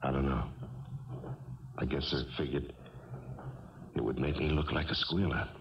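An actor playing an uneasy, resigned restaurant man, speaking haltingly in a 1970s radio drama. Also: a bass voice, flat delivery. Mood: subdued. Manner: hesitant, halting. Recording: dull, faintly noisy 1970s radio recording.

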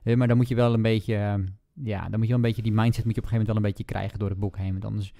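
Low, creaky-voiced man chatting. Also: little pitch movement, very fast, warm tone. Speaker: book podcast host. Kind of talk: casual book discussion.

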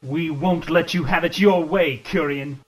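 Actor as a slow-spoken, anguished male game agent. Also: on the high side, close to an everyday voice. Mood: grim. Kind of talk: arcade game voice clips, stilted acting.

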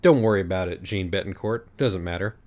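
A man's voice: deep voice, fairly quick, a little mellow, natural voice. A man voicing a smug, flippant cool dude who loves wordplay, in an audiobook story. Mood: cocky. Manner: flippant, cocksure. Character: smug, cocky, wordplay-loving rad young dude.

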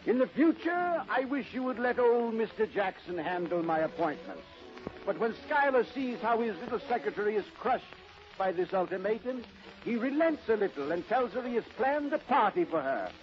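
A muffled old radio recording of a male narrator. His voice is high for a man, and he delivers scripted drama narration in 1930s style. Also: animated, normal speed, soft background music.

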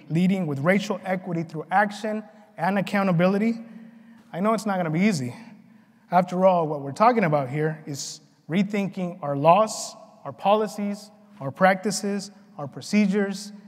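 A middle-aged man delivering a prepared conference keynote in an earnest, deliberate way with measured phrasing. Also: high-pitched. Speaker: keynote speaker. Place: large conference ballroom, on stage. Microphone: lapel mic at a podium. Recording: hall reverb from PA.

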